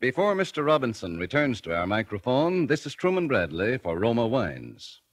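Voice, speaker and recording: A man's voice: medium pitch, huge pitch swings, moderate pace, dry recording. A man working as a sponsor's announcer, reading a scripted 1940s radio advertisement.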